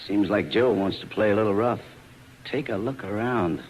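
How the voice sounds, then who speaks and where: A man's voice: lowish voice, moderate pace, natural voice. An actor playing a brash, scheming carnival showman in 1940s radio style, mocking and sly. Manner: sly, taunting, showy. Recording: noisy 1940s radio broadcast recording.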